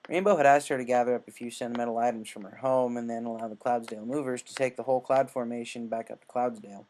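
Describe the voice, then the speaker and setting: Man narrating a story, medium pitch. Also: fairly even intonation, quick pace, a little mellow, a little hiss or hum. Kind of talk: scripted fan fiction narration.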